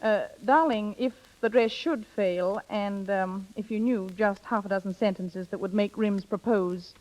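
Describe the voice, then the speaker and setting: An actress playing a scheming, playful older sister in brisk, knowing 1930s radio drama style. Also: female voice, mid-range voice, a little mellow, lightly acted, poor audio. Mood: coaxing, scheming. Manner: brisk, knowing, theatrical, coaxing. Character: bossy, scheming, playful older sister coaching younger one. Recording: vintage 1930s radio broadcast.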